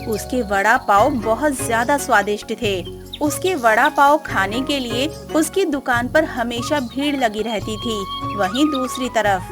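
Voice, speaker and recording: A woman's voice: a little high, a little slow. A woman narrator reading a scripted cartoon moral story. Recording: music behind.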